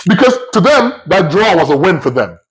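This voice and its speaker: A slightly high-voiced man with a husky edge, co-hosting a casual football podcast. He talks in an animated way, jumps in over his partner and keeps the chat loose and lively. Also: fairly quick. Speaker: podcast co-host.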